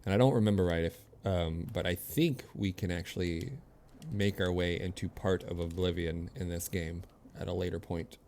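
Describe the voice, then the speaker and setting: A man's voice: low voice, fairly even intonation, normal speed, darkish tone, slight background noise. A man streaming a video game, chatting casually with viewers and commenting as he plays in an easygoing way. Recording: game music behind the voice. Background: video game music.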